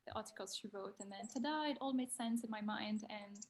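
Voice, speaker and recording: Gravelly young woman, non-native English. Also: medium pitch, fairly quick. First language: not English. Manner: hesitant, candid, self-deprecating. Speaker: design graduate panelist. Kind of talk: reflective panel talk.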